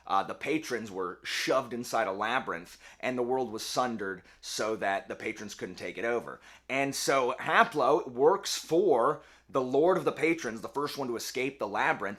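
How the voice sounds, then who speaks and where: Male booktuber host, slightly hoarse, talks in loose rambling runs with an animated, swinging pitch. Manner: chatty, rambling, self-correcting. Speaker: book channel host. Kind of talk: casual book vlog.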